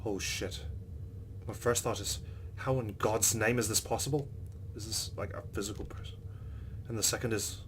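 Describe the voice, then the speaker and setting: Low-voiced man reading game narration. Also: fairly even intonation, moderate pace, pauses often, slight background noise. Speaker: let's play host. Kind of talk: reading visual novel narration aloud, with reactions.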